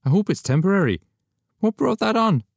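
A man voicing a flirtatious, excitable photographer who speaks in a teasing, exclamatory way. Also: high voice, a little fast, vocal fry, no room sound, pitched above the performer's own voice, exaggerated voice. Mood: teasing, incredulous. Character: Irish male photographer, flirtatious, brash, excitable.

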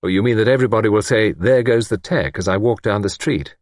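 A man reading an audiobook voices a calm young male investigator in a relaxed, dry manner. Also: fairly low pitch, fast, no room sound, lightly acted. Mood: thoughtful.

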